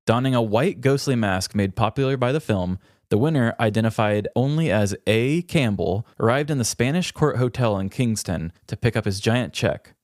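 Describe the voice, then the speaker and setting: A man's voice: fairly low pitch, darkish tone, no echo, clean studio sound. A man co-hosting a podcast in a casual, chatty style. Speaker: podcast co-host. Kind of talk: casual chat with trivia.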